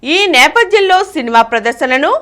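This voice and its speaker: A woman reads the daily news in a high voice that rises and falls a great deal. Her delivery is formal and steady, item after item. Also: normal speed. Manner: steady formal newsreading cadence. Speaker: news reader. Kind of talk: read news bulletin.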